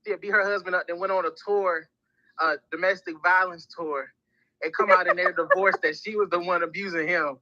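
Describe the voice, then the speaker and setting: Animated high-voiced man. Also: fairly quick, no echo. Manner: animated storyteller, repeats for emphasis. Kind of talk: casual chat. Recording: dull, lo-fi app audio, dry.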